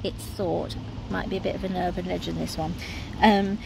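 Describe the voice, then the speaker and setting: A woman's voice: fairly low pitch, fairly even intonation, normal speed, vocal fry. A woman with a London accent guiding a walking tour outdoors, talking in a chatty way with tag questions. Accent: London English. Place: outdoors by a sunny riverside in a city. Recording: loud outdoor street noise. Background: city traffic and outdoor ambience.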